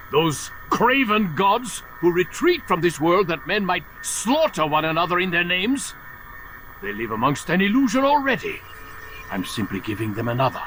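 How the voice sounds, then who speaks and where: An actor playing a calculating older master assassin in a video game, philosophical and condescending in a theatrical, oratorical way. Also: male voice, high-pitched, sharp tone, a little hiss or hum, exaggerated voice. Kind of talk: dramatic video game cutscene acting.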